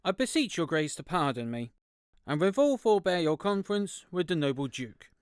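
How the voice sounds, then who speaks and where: A man's voice: a little high, sing-song, normal speed, smooth, no room sound, studio quality. An actor, a man, playing a dutiful, deferential guard officer, formal and firm, in a Shakespearean audio drama. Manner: formal, apologetic but firm. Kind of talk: classical Shakespearean audio theatre.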